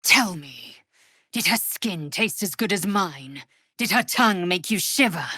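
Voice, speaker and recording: A woman's voice: lowish voice, lively intonation, unhurried, sharp tone, slightly rough, dry recording, clean studio sound, heavily acted. A woman voicing a fierce, haughty alien warrior in a fantasy video game, with an imperious, clipped, solemn delivery. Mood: cool, probing.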